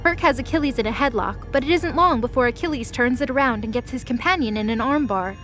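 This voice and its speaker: A woman's voice: a little high, fast talker, a bit bright, soft background music. A woman reading scripted, dramatic story narration as the narrator of an audio drama.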